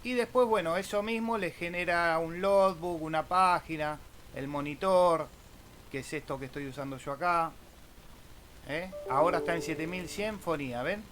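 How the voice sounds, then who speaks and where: Man, slightly high voice, informal tutorial delivery, questioning tags, faint background noise. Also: moderate pace, fairly bright tone. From Argentina. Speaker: amateur radio YouTuber. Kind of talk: casual screen-share tutorial.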